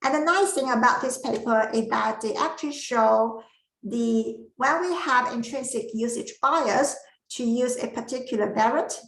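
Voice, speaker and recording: A woman whose first language is not English, giving an academic masterclass presentation with careful explanations and frequent pauses. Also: a little high, gravelly, roomy sound, poor audio. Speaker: lecturer.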